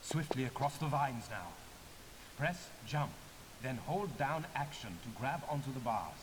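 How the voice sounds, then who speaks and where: An actor playing a stern, condescending older archaeologist with a German accent, giving measured instructions in a video game. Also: male voice, medium pitch, expressive, background noise, close to an everyday voice. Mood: instructive. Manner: formal, measured, superior. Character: stern older male European archaeologist mentor, pedantic and condescending. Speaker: tutorial guide.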